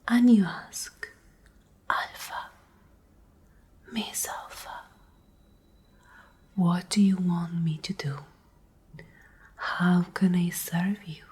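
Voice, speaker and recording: A domineering female Alpha, acted out by a woman speaks in a very breathy whisper for a dark ASMR roleplay. She is slow and deliberate, with a mellow tone and cold, condescending menace. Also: mid-range voice, full character voice. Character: domineering, menacing female pack Alpha, coldly possessive captor.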